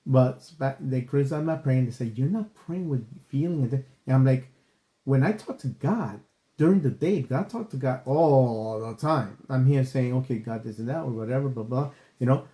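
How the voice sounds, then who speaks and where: A man chatting earnestly on a podcast in a mellow, warm-toned voice, drifting from point to point and padding with "you know." His voice rings in an echoey room, picked up on a desk microphone. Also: medium pitch, normal speed. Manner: earnest, rambling, repeats "you know" and "stuff like that". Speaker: podcast co-host. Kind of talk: casual chat.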